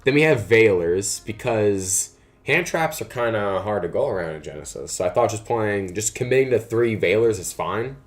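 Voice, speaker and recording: A young man with a lowish, raspy voice that still sounds like early morning. He talks loosely and casually into a desk mic, wandering through thoughts with plenty of filler words. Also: animated, moderate pace, faint music behind. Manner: rambling, lots of fillers like "uh" and "like". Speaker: card game streamer. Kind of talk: casual live-stream chat.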